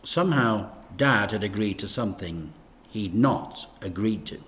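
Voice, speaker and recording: Animated man reading a storybook. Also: lowish voice, measured pace. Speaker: teacher reading stories to children. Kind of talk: expressive storybook narration.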